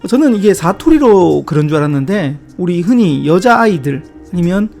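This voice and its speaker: A man's voice: high voice, big pitch swings, moderate pace, vocal fry, darkish tone, soft background music. A man hosting a casual video lesson on word origins, chatty and given to light self-deprecating asides. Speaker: book-review video host. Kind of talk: casual explanatory talk about word origins.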